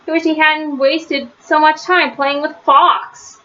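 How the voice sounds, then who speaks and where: A woman's voice: sits high, steady tone, slow pace, pushed and bright, faint music behind. A woman reading a children's story aloud as a storyteller. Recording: slightly dull, faintly noisy recording.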